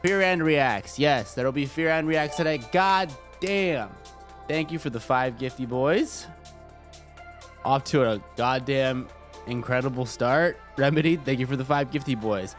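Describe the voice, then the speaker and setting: A man's voice: medium pitch, unhurried. A man streaming live, a little congested and stuffy, chatting casually and joking with lots of profanity. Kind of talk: casual livestream chat. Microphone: desk microphone. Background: faint music.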